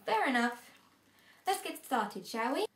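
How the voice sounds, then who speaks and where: A woman's voice: high voice, big pitch swings, measured pace, a bit bright, heavily acted. A woman playing a friendly older relative who reads bedtime stories in an amateur drama. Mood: friendly, teasing. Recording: roomy echo, faint background noise.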